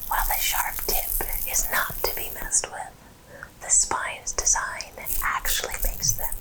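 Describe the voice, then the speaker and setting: A woman host narrating educational animal facts in an ASMR style, whispering slowly and deliberately. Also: poor audio. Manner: whispers slowly and deliberately through most of the talk.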